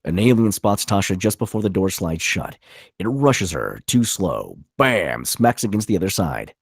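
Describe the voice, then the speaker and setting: A man with a low, rough-edged voice narrates stage directions from a screenplay at a table read. His pitch moves around in an animated way, and the sound is dry and close. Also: normal speed, no echo.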